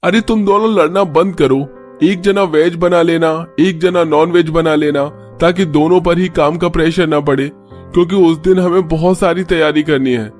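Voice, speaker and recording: Guy playing sensible husband calming things down, highish voice, music behind, story video. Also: moderate pace, close to an everyday voice. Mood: firm, conciliatory. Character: practical, peacemaking adult son and husband. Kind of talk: scripted story dialogue.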